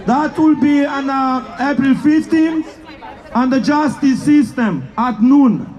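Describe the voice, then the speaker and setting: A man shouting a protest speech outdoors, his voice pushed very high and delivered slowly, word by word. His English is halting, with slips of grammar, and a crowd murmurs faintly behind him in the street. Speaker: protest speaker. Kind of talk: rally speech.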